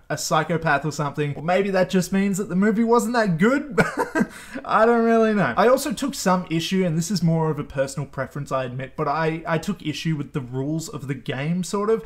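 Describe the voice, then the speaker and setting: A man giving a casual, chatty movie review to viewers in an informal, hedging, self-deprecating way. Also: fairly high pitch. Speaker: film review host.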